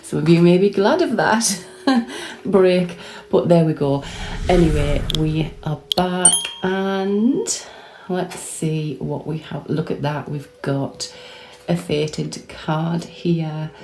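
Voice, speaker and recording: Low mellow lady doing a tarot reading, voice kinda hoarse, chatty and rambly as she flips cards. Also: slow, rough recording. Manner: chatty, thinking aloud while pulling cards, with restarts. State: hoarse, recovering lost voice. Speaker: tarot reader. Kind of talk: casual tarot card reading.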